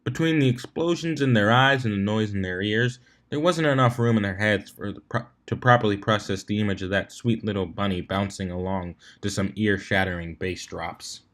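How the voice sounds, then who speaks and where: A man reading fan fiction aloud as an amateur narrator, expressive with the odd stumble. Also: fairly low pitch, moderate pace, few pauses. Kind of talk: amateur scripted story narration.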